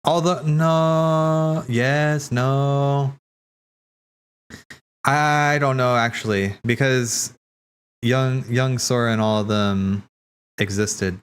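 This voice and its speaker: A man hosting a watch party, giving casual live reaction commentary in a musing, halting way as he thinks aloud. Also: mid-range voice, fairly even intonation, clean tone. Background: game soundtrack music.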